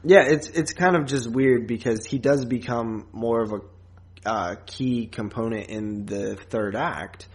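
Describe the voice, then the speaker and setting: Male podcast co-host, casual film-review chat, loose and rambling, mid-pitched voice. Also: measured pace. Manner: rambling, frequent filler words, casual swearing.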